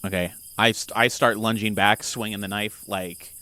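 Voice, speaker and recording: A man's voice: fairly low pitch, fairly even intonation, normal speed, bright, forceful tone, clean tone. A man playing a tabletop role-playing game, improvising casually and thinking aloud in informal language.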